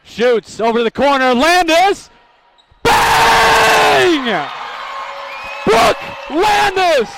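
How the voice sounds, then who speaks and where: A man calling live basketball play-by-play, rattling off names and stats and shouting on big plays. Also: very high voice, very animated, drawn-out words, gravelly, long pauses. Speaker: play-by-play commentator. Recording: live gym crowd and court noise. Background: crowd noise and bouncing basketballs.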